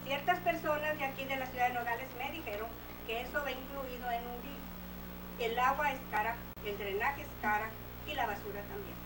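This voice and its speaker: A woman's voice: high-pitched, fast. A woman speaking during public comment at a council meeting, insistent and impassioned, slipping in English words. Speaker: resident addressing the city council. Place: council chamber. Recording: echoey, distant, noisy room recording.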